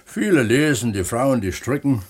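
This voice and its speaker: An actor playing a down-to-earth, contented mine pump attendant, unhurried and colloquial, in a radio play. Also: male voice, medium pitch, animated, husky. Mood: calm, content. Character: plain-spoken, contented male mine pump attendant who writes. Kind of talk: 1970s East German radio play acting.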